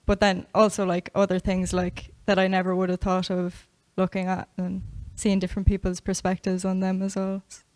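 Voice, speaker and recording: A young woman in her twenties from the west of Ireland with an Irish accent, a veterinary student answering interview questions in a soft, hesitant way. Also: mid-range voice, completely flat delivery, moderate pace, hardly any pauses, no room sound. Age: 20s.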